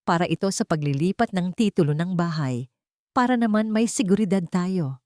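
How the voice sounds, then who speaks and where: A woman voicing a scheming, insistent daughter-in-law with a wheedling, sharp edge, in an audio drama story. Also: fairly low pitch, expressive, normal speed, dry recording, clean studio sound, natural voice. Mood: urgent, pushy. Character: scheming, pushy daughter-in-law, sweet-talking then viciously angry.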